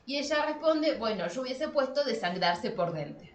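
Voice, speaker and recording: An expressive, emphatic woman with an Argentine accent, talking casually as a podcast host. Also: high-pitched, normal speed. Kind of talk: casual podcast discussion about translation. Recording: echoey room with faint noise.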